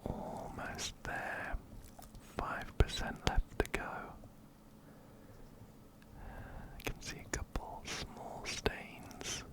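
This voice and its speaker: A man hosting an ASMR game playthrough in a gentle, breathy whisper, very close to a binaural microphone. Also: poor audio. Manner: gentle, unhurried, soft-spoken. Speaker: ASMR gaming host. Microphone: close binaural microphone, ear to ear.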